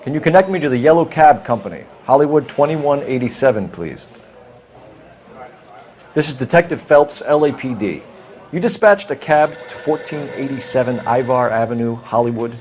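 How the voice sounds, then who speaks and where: A man's voice: medium pitch, lively intonation, normal speed, a little mellow, natural voice. A man playing a clipped 1940s detective. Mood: formal. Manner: clipped, businesslike questioning. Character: earnest by-the-book 1940s male detective. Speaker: police detective. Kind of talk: game cutscene acting.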